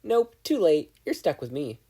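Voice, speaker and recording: A smug, superior conscience speaks through a podfic reader, brisk and sarcastic. The pitch lifts and swoops, and the tone stays soft-edged and slightly husky as it lectures. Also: sing-song. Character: smug, bossy inner-conscience voice, needling and superior.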